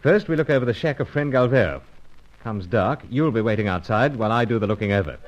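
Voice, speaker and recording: A man playing a brisk, unflappable British secret agent in a spy radio serial, with clipped, telegraphic phrasing. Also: medium pitch, expressive, dull audio, a little hiss or hum, close to an everyday voice. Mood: brisk, musing. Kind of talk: old-time spy radio serial acting.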